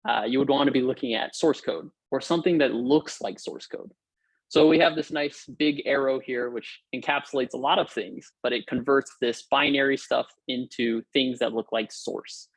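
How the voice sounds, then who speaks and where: A man's voice: mid-range voice, steady tone, normal speed, dry recording, low-quality recording. A young man from Hawaii giving an upbeat, informal technical talk over a video call, with frequent fillers and restarts. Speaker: guest lecturer. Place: room at home or office.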